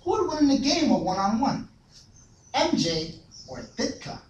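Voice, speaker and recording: A man playing an excitable sports superfan host in a comedy sketch, stretching words, repeating himself and tagging questions with "eh". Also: very high-pitched. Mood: showy, excitable. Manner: drawn-out, repetitive, tags questions with "eh". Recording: echoey room, distant mic, faint noise.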